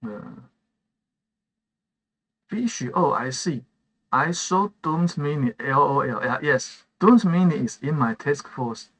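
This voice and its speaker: A man from Taiwan whose first language is Chinese, chatting slowly through a live game stream with many fillers and restarts while he answers viewers' messages. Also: on the high side, animated, a bit bright, low-quality recording. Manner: reads chat messages aloud and answers them. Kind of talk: live gameplay stream chat.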